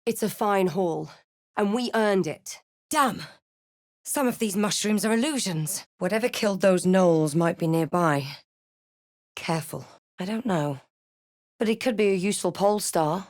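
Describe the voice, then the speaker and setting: A woman playing a watchful, wry fantasy adventurer in a video game, recounting her journey in a measured storybook way with dry asides. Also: medium pitch, darkish tone, dry recording, natural voice. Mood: reflective. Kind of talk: video game character voice lines.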